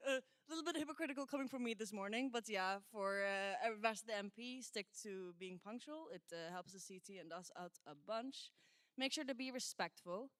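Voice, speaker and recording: Relaxed woman presenting meeting rules. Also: a little high, brisk, clear, resonant tone, no echo.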